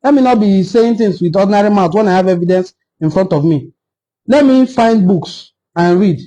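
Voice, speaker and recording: A man's voice: high-pitched, moderate pace, warm tone, highs cut off. A man from Nigeria with an Igbo-language accent, speaking as the host of an informal, impassioned history talk in an assertive, argumentative way with emphatic repetition.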